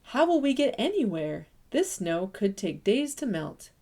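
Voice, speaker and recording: A woman voicing a worried young forest animal neighbor in a higher, anxious voice. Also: big pitch swings, measured pace, mellow.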